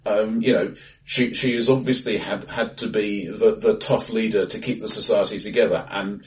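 A man co-hosting a casual film discussion podcast, often repeating words as he starts a sentence, recorded face to face in a bright, live room. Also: on the low side, steady tone, moderate pace, far from the mic, low-quality recording. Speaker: podcast co-host.

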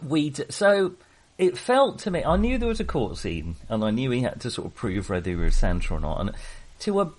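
A man's voice: medium pitch, big pitch swings, normal speed. A man hosting a casual film discussion, speaking hesitantly and often correcting himself as he digresses. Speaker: podcast host.

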